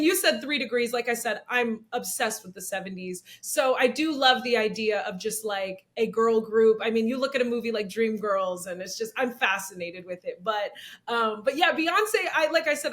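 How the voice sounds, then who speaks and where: Young woman, kinda raspy, pretty high voice, chatty and laughy, acts out quotes in an interview. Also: fairly bright tone. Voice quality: slightly raspy, low-set voice. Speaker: actress and comedian, interview guest. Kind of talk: candid interview conversation.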